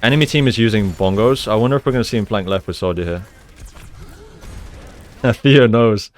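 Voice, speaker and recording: A man's voice: on the low side, moderate pace, a little hiss or hum. A man giving conversational, explanatory commentary on video game play, as a coach breaking down each move. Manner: explanatory, conversational, often checks with "right?". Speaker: gaming coach, analyst.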